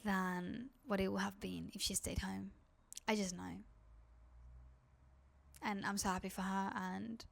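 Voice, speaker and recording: A woman's voice: mid-range voice, vocal fry. A woman with a Spanish accent, a native Spanish speaker from Spain, vlogging in a rambling, confiding chat to camera. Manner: rambling, confiding, heavy use of "like".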